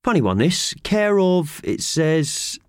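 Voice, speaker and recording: A man acts a nosy postman from a children's storybook. He rambles chattily in a raised, very animated pitch, always inquisitive, recorded dry. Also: slow pace, smooth, dry recording.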